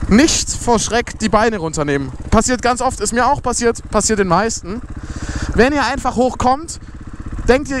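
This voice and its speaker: A young man giving a casual how-to explanation while riding a motorcycle outdoors, recorded on a ride-along camera. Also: very high voice. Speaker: motovlogger. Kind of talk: riding tutorial commentary. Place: outdoors on a motorcycle on a closed road. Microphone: helmet or body camera while riding. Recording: faint motorcycle engine noise. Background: motorcycle engine.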